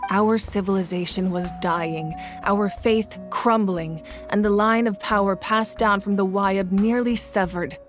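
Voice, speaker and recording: Woman doing a calm serious fire-nation ruler, formal and steady, loud music behind. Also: mid-range voice, close to an everyday voice. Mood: grave. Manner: measured, formal storytelling. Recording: game audio with loud music.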